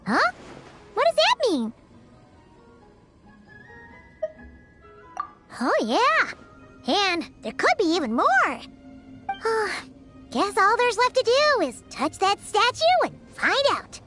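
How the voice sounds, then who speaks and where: Squeaky, excitable tiny fairy girl. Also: takes it slowly, faint music behind, full character voice. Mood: puzzled. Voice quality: squeaky, childlike. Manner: bubbly, exclamatory, refers to herself in third person. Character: tiny excitable floating fairy girl companion, chatty, childlike. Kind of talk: animated video game character acting.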